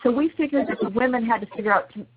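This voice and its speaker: A woman's voice: on the high side, very fast. A woman telling family holiday stories in a chatty, laughing way as a guest on a call-in show. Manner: chatty, laughs often, storytelling. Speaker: radio guest. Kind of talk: casual call-in chat. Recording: tinny phone line with noise.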